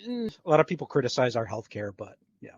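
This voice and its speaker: A man's voice: mid-range voice, sing-song, fast, no room sound, highs cut off. A man from Canada chatting casually as a guest on a podcast. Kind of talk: casual chat.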